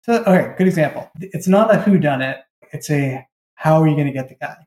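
A man with a somewhat high, very mellow voice explains things in casual interview chat as a writer. The room around him rings with echo. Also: lively intonation, brisk. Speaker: novelist and creator, interview guest. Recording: echoey room sound.

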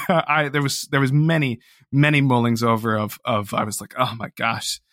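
A man talks reflectively as an interview guest in a mid-pitched voice. He is hesitant and self-deprecating, and the sound is close and dry. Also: level tone, normal speed, a bit bright, dry recording. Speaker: interview guest, audio drama creator. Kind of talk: casual reflective interview talk.

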